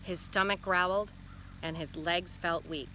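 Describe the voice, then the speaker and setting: Female primary teacher, bright crisp-edged delivery, expressive storybook read-aloud with explanatory asides, slight background noise. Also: on the low side, unhurried. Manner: instructive, checking in with questions. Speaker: teacher reading a picture book aloud.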